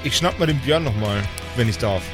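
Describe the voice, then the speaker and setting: A man's voice: mid-range voice, expressive, brisk, over music. A man playing in an improvised tabletop role-play, casually describing what his character does and says.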